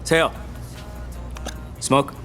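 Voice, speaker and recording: A man's voice: very slow, music in the background. A man playing a bossy army captain, blunt and colloquial with casual authority. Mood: casual, authoritative.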